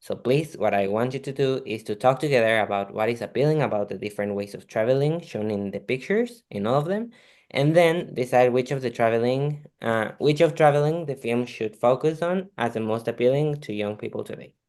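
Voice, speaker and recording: A man conducting an oral speaking exam, polite and measured, with occasional restarts. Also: mid-range voice, animated, a little mellow. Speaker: examiner.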